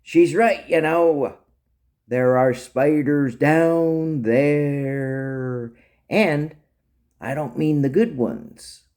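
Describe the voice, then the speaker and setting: A man's voice: on the high side, very slow, a little mellow, pitched above the performer's own voice. Man playing a pompous, sneering island mercenary. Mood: smug warning. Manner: pompous, mocking, grandiose. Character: sneering male island mercenary, theatrical villainous captive.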